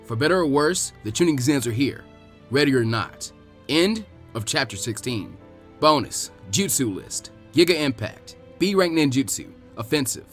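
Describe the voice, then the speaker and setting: A man's voice: medium pitch, expressive, normal speed, fairly bright tone, faint music behind. A man narrating fan fiction as a scripted audiobook reading for his channel.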